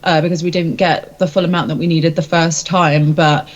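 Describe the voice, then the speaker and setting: A woman's voice: sits low, little pitch movement, normal speed. Woman in candid interview, slightly muffled sound. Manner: informal, hesitant, self-reflective. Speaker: singer and interview guest.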